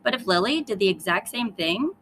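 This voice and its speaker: A woman reads a children's story aloud as a schoolteacher would. She speaks to young listeners with emphatic storytelling and a lively, varied pitch. Faint noise is audible behind her. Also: a little fast. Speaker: third grade teacher reading aloud.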